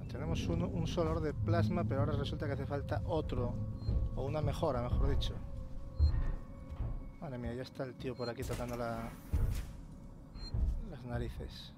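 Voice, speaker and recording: A man with a Castilian accent from Spain giving casual let's-play commentary, colloquial and sweary, muttering to himself as he goes. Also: medium pitch. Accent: Castilian Spanish from Spain. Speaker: gaming channel host. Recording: clearly noisy, game ambience behind.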